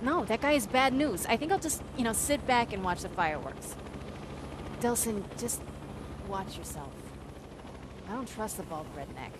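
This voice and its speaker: Female actor for streetwise young game heroine, wry and guarded, highish voice over noisy dull audio. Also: lively intonation, moderate pace, pauses often, natural voice. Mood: wary. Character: wary, streetwise, flippant young woman with powers. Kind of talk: video game acting.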